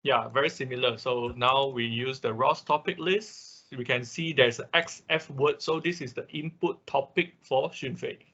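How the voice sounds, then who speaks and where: A man's voice: medium pitch, normal speed, hardly any pauses, pushed and bright. A man leading an online class as instructor, explaining technical steps casually and often checking in with short confirming tags, heard over a video call. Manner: frequent confirming tags like "right" and "okay". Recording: dull lo-fi online call audio.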